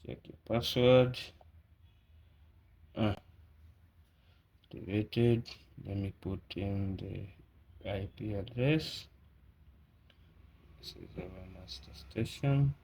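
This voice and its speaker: Slow-talking man in a hands-on tech demo. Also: lowish voice, darkish tone. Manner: halting, short phrases, murmuring while working. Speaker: presenter of a tech unboxing and setup tutorial. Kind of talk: casual hands-on demonstration. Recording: clear background noise with clicking.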